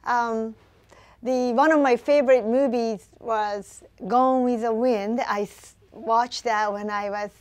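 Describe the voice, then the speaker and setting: A woman's voice: on the high side, fairly even intonation, slow pace, a little mellow. Japanese woman, earnest and hesitant. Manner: earnest, hesitant with many fillers. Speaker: local businesswoman. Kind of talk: interview answers.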